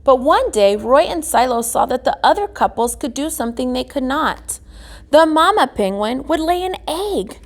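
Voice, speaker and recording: A woman reading a children's picture book aloud as a guest reader, phrasing it deliberately with emphasis. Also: sits high, lively intonation. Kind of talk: children's storybook read-aloud.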